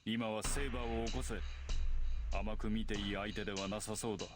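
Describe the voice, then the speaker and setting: A cold, stoic fighter from a visual novel, acted by a man, with a low voice and very quick, clipped delivery. He sounds flat and unemotional. Loud game music plays behind him. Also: lightly acted. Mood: cold, terse. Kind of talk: Japanese visual novel voice acting.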